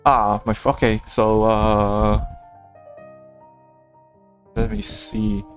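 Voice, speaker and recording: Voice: medium pitch, sing-song, very slow, gravelly, soft background music. A video game streamer giving casual, rambling live commentary and reacting aloud to the game.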